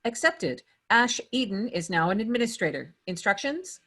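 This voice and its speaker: A woman's voice: medium pitch, big pitch swings, normal speed, a bit bright. Woman as formal computer security system. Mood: impersonal, procedural. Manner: formal, procedural. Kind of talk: screenplay table read.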